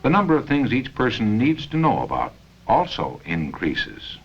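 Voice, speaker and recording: An American man reading scripted narration for a 1970 company training film, with measured, deliberate phrasing and pauses. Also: medium pitch, wildly swooping pitch, slightly rough. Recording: dull 1970 film soundtrack.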